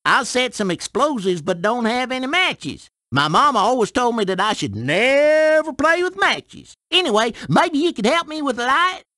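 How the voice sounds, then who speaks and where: A man's voice: extremely high pitch, sing-song, moderate pace, few pauses, bright, forceful tone, no room sound, clean studio sound, exaggerated voice. A man voicing a simple, good-natured video game farmer with a folksy rural American accent. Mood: folksy, puzzled. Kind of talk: video game character dialogue.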